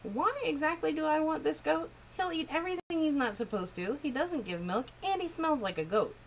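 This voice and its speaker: A woman playing a spirited, sharp-tongued girl. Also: high voice, expressive, fairly quick, slight background noise, pitched above the performer's own voice. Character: bold clever young country girl.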